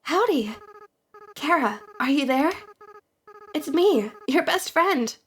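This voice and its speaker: A woman voicing a weary young goat monster boy who speaks softly. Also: sits high, expressive, slow pace. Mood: relieved.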